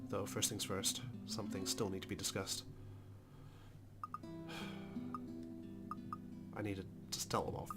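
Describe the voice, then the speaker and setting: Low-voiced man reading over loud music. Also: level tone, a little slow, long pauses. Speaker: let's play host. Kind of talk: dramatic read-aloud of visual novel narration. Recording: music clearly under the voice.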